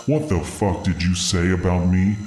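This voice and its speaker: Man voicing a very deep, menacing giant monster woman. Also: normal speed, darkish tone, a little hiss or hum, lightly acted. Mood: menacing rage. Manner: threatening, boastful, swearing. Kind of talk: dramatic creepypasta reading. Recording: roomy echo, music behind.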